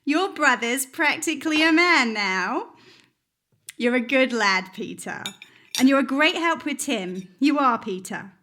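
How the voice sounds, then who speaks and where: High, bright doting mother. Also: lively intonation, measured pace, full character voice. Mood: fond. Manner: affectionate, scolding. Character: doting, sharp-tongued working-class mother and wife. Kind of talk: stage radio-play acting.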